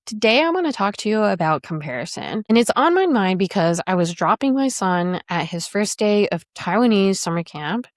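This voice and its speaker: A woman's voice: on the low side, no room sound, clean studio sound. A woman in her thirties hosting a solo podcast as a career coach, talking in a reflective, explanatory way.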